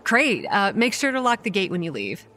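An actress playing a wry, weary young campground manager who narrates a horror audio drama in a measured, dry way. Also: female voice, mid-range voice, very animated, sharp tone. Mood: casual. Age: young adult. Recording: music under the voice.

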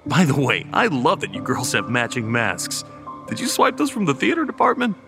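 A man's voice: mid-range voice, wildly swooping pitch, moderate pace, pushed and bright, soft background music, heavily acted. A young man playing a cocky, goofy college student in an audio horror drama, flippant and casual. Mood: teasing. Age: young adult. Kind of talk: radio horror drama acting.

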